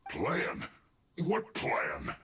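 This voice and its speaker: A man's voice: mid-range voice, wildly swooping pitch, fairly bright tone, exaggerated voice. A man playing a hapless, indignant enemy soldier in a video game, theatrical and protesting. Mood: defensive, flustered. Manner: theatrical, protesting, halting when cornered. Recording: game music behind.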